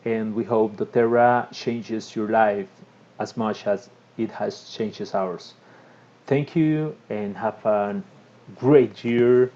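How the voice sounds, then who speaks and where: Mellow-voiced man, halting tutorial talk. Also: on the low side, dull audio. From Costa Rica. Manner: halting, frequent restarts and filler sounds. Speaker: tutorial presenter. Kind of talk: casual screen-recorded tutorial.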